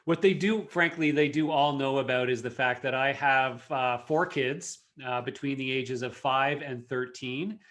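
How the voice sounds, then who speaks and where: A Canadian man in his forties chats easily as a podcast guest. He rambles a little, with frequent fillers and small restarts. His voice sits at an ordinary middle pitch. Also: fairly even intonation, a little slow, few pauses, fairly bright tone. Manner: friendly, talkative, frequent fillers. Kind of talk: casual interview chat.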